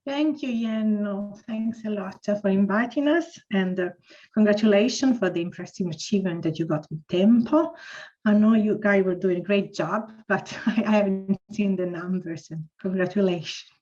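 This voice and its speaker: A woman's voice: medium pitch, normal speed, mellow. Italian-accented woman, reflective, lo-fi sound. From Italy. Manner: reflective, searching for words. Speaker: panel speaker. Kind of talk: telling her career story. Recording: dull lo-fi audio.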